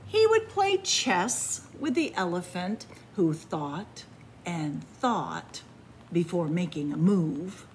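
A woman reading a picture book aloud expressively, with deliberate phrasing and dramatic pauses. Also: lowish voice, very animated, a little hiss or hum. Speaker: librarian reading picture books aloud to children. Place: indoors in a library room with bookshelves.

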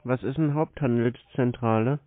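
A man's voice: mid-range voice, moderate pace. Curt man on a phone line. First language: German. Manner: curt, challenging, answers with counter-questions. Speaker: scam baiter taking calls. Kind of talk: casual phone conversation. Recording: lo-fi phone call recording.

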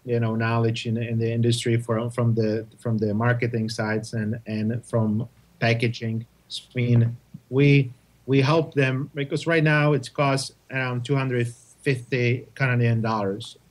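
A man from Czechoslovakia with a Czech accent, answering interview questions in halting English full of restarts. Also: mid-range voice, flat delivery. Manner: halting, many restarts and repeated words. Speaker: guest, testing company representative.

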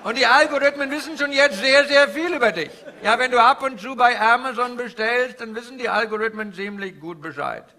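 A man playing a cheery, flattering Indian finance guru with an Indian accent, in theatrical character stand-up that repeats phrases and banters with the audience. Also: very high-pitched, fairly quick, few pauses. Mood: mock-earnest explaining. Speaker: stand-up comedian. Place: indoor live venue before an audience. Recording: live stage with noisy audience. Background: audience laughter and crowd ambience.

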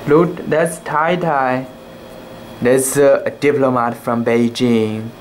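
A young man reading a children's book aloud in a casual, halting way, with cooing asides about the pictures. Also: medium pitch, steady tone, a little hiss or hum. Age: young adult.

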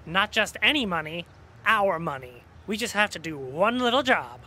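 Excitable scheming geek man acting, bright voice. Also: sits high, moderate pace, heavily acted. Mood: persuading. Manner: excitable, persuasive. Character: eager, scheming sci-fi fan club enthusiast. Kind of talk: comedic audio drama acting.

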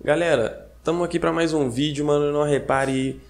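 A young man hosting a gaming channel, talking casually to his viewers with a hoarse, stuffed-up nasal voice from a cold, slangy and chatty, often checking in with them. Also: fairly high pitch, fairly even intonation, normal speed. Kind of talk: casual vlog talk to viewers. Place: home gaming setup.

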